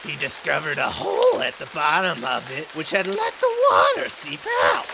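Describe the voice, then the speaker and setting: High, creaky, quirky little storyteller. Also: male voice, huge pitch swings, moderate pace, full character voice. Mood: dramatic storytelling. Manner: theatrical, rambling. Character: quirky, long-winded little village storyteller. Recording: clear rain noise behind voice.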